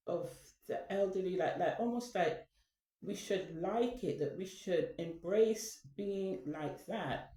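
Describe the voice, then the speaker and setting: A woman's voice: lowish voice, dark tone, recorded from a distance. A woman talking casually to her viewers, rambling with many fillers and restarts. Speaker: channel host. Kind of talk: casual spoken talk. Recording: echoey room, away from the mic.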